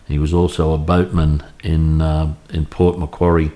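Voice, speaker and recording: Deep, flat-voiced Australian man in his fifties. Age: 50s. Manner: halting, anecdotal, many restarts. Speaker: oral history interviewee. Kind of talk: reminiscing in an interview. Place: home in Cremorne, Sydney.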